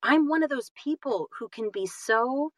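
A woman talking reflectively as an interview guest, animated and self-deprecating, often halting and repeating phrases for emphasis. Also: sits high, no echo, studio quality. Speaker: guest, audiobook narrator and voice-over artist.

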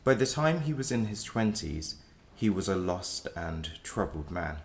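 Defence lawyer for a male actor: very low, creaky voice, measured, cautious, earnest. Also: big pitch swings, heavily acted. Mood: solemn, pleading. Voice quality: deep, gravelly. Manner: formal, measured advocacy. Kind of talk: audio drama acting.

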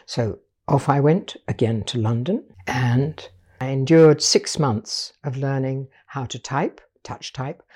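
An older woman, raised in Portugal, telling her life story as an artist in a measured, patient interview manner. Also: a bass voice, darkish tone, breathy edge. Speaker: guest artist.

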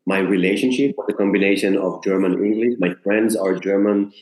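A man's voice: low-pitched, steady tone, a little fast, a little mellow. A man from Israel, an actor, talking earnestly in an interview and often restarting as he searches for words. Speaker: actor, guest. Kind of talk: conversational interview. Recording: roomy echo.

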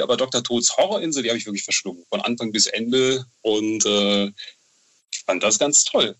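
A man's voice: mid-range voice, fairly bright tone, highs cut off. A man from Bonn in western Germany chatting enthusiastically as an interview guest, often adding tag questions to seek agreement. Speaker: interview guest, novel author. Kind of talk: casual reminiscing interview.

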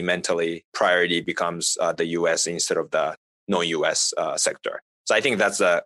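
A man from Japan with a Japanese accent, a native Japanese speaker, answering as an interview guest in a halting, conversational way with repeated filler phrases. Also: low voice, sharp tone, dry recording. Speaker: interview guest, fintech business representative.

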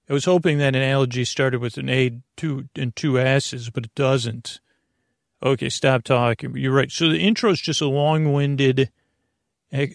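A man hosting a bedtime podcast, talking in a meandering, self-interrupting way with gentle jokes. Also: medium pitch, gravelly, dry recording, clean studio sound. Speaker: sleep podcast host. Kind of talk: rambling casual monologue.

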